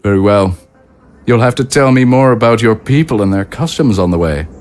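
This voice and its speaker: A man acts as a courtly consul in an audio drama. He is a smooth talker with a low voice. His delivery is formal and stately, with polite condescension. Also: expressive, fairly quick, darkish tone, soft background music, close to an everyday voice. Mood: politely pointed. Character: courtly, smooth-talking male consul of the living. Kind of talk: dramatic dialogue acting.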